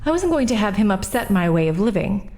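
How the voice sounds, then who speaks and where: An actress playing a defensive, self-righteous middle-aged woman testifying at an inquest, talking in an indignant, sharp-tongued way. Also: medium pitch, very animated, brisk, lightly acted. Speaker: witness at an inquest. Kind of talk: 1940s radio acting.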